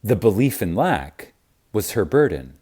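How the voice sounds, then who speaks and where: A man reading a spiritual audiobook reverently and emphatically, with deliberate dramatic pauses. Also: lowish voice, very animated, mellow. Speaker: audiobook narrator.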